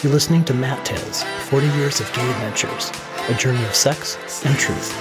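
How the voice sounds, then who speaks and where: A man's voice: medium pitch, normal speed, over loud music. A middle-aged man hosting a podcast, reading scripted first-person storytelling narration. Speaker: podcast host.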